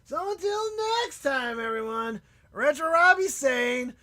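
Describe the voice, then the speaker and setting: A man hosting a live stream in casual chat, excitable and prone to loud shouts and laughter, and losing his voice. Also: very high-pitched, a little slow. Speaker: livestream host.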